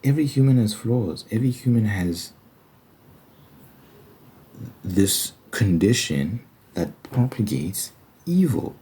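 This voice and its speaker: A man's voice: on the low side, sing-song, measured pace, dark tone, slightly rough, poor audio. A man giving an informal ASMR-style Bible commentary, arguing his points emphatically with rhetorical questions. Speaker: host of an ASMR Bible study channel.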